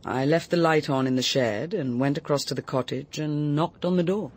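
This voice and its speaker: Brisk, self-possessed British woman played by an actress. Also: deep voice, noisy background, natural voice. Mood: brisk, composed. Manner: brisk, assured, precise. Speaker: actor. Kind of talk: radio drama acting.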